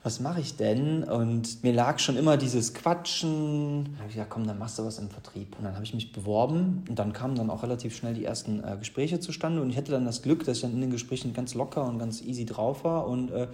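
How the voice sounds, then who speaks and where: A man's voice: medium pitch, a little fast, few pauses, a little mellow. A man in his thirties from the Ruhr area, telling his own life story in a loose, chatty way with many fillers and restarts. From Ruhr area, Germany. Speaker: interview guest. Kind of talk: casual personal storytelling. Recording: echoey room.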